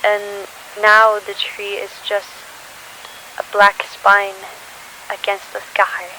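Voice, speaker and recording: A slow, bright-voiced woman playing a sorrowful teenage survivor, recording an audio diary for a post-apocalyptic drama. The delivery is halting and solemn, with little pitch movement, under a faint hiss. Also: monotone, poor audio. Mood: sorrowful, reflective. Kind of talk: scripted audio diary monologue. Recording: hissy recording with faint noise.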